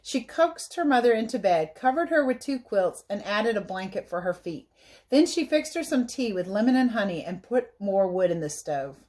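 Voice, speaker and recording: A woman reading a story aloud as a teacher, pausing to explain things simply to young listeners. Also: medium pitch, very animated, darkish tone. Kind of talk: read-aloud storytelling with explanatory asides for children.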